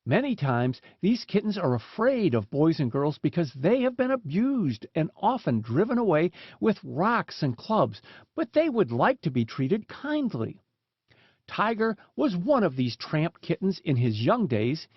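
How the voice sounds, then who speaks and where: High-pitched man reading a storybook, lively intonation. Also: unhurried, breathy edge, no echo. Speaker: audiobook reader. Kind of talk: scripted narration of children's animal stories. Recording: dull, dry lo-fi recording.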